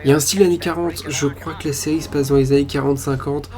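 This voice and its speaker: Excited rambling man reacting to trailers. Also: a little high, fast. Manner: excited and rambling, with many fillers and restarts. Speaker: channel host reacting to trailers.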